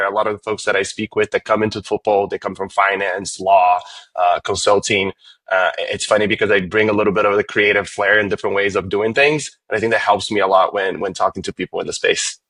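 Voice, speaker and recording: Man in his thirties, low voice, easygoing interview guest. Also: little pitch movement, fast talker, bright, forceful tone, low-quality recording. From Brazil. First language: Portuguese. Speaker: guest, football investment advisor. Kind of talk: podcast interview.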